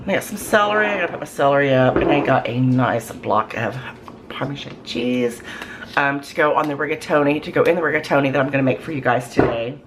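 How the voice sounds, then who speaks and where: A hoarse woman with a cold, chatting in a rambling, folksy way with lots of ums in her home kitchen. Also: a bass voice, fast. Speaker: cooking vlogger. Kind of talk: casual chat to camera. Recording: noisy home recording.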